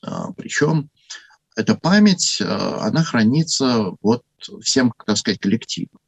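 A man with a husky voice giving an unscripted talk on church history, thoughtful and full of hesitations. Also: medium pitch, lively intonation, measured pace, no echo.

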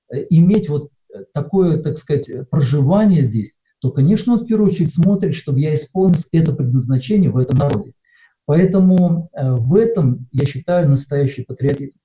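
A man's voice: a little high, expressive, fairly quick, warm tone. A middle-aged man from Russia preaching a sermon in a conversational way, with many hesitations. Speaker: pastor. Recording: dull lo-fi sound.

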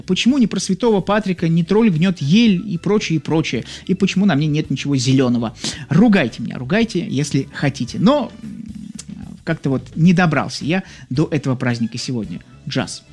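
A man's voice: a little high, animated, fairly quick, a little mellow, breathy edge. A man from Leningrad hosting a live music stream, chatting casually with viewers, hesitant with many fillers and a slight burr on his r sounds. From Leningrad, Russia. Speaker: radio-style music show host.

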